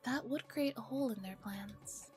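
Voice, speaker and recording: A soft-spoken woman streamer reading a visual novel aloud in an ASMR style, often dropping into whispers and adding casual comments. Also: a little high, normal speed, soft background music.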